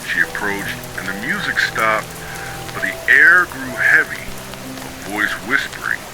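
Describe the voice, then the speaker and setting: A man reading scripted horror stories for sleep in a measured, solemn storytelling manner, breaking sentences into short dramatic phrases. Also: on the low side, sing-song, over music, rough recording. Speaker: narrator of ghost stories.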